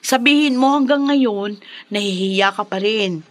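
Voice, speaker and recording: An actress playing a brisk, casual young bar girl in a radio drama, breezy and urging. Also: medium pitch, lightly acted. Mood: coaxing.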